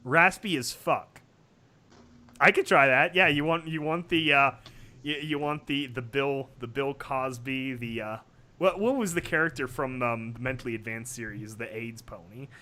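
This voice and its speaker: A man hosting a livestream, chatting casually in a halting, joking way. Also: mid-range voice, bright, forceful tone. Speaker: livestream host and reader.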